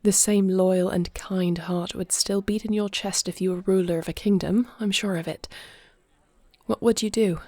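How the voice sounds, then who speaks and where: A woman's voice: medium pitch, fairly even intonation, moderate pace, darkish tone, no room sound, natural voice. A woman playing a regal, anguished but resolute queen with formal, commanding diction in a fantasy audio roleplay. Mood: troubled, uncertain.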